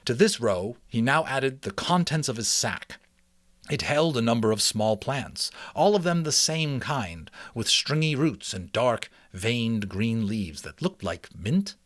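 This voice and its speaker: A man's voice: mid-range voice, big pitch swings, a little slow, a bit bright, no room sound, clean studio sound. A man reading a children's novel aloud as an amateur audiobook narrator, with dramatic storytelling delivery.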